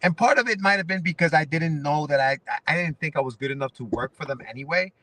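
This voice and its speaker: A man's voice: on the high side, fast talker, sharp tone, far from the mic. A man in his forties from Ecuador, a guest comic writer chatting casually in an interview, rambling with many restarts and fillers. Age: 40s.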